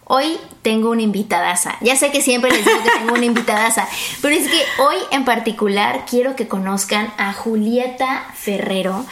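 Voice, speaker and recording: A woman's voice: on the high side, normal speed, a bit bright. Chatty woman podcast host. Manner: chatty, playful, addresses listeners as friends. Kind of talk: casual podcast chat. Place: guest's home.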